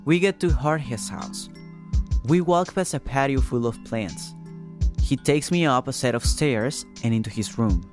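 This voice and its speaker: Reflective young man narrating, soft music underneath. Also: mid-range voice, measured pace. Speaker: storyteller and narrator. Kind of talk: first-person scripted narration. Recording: faint music bed behind.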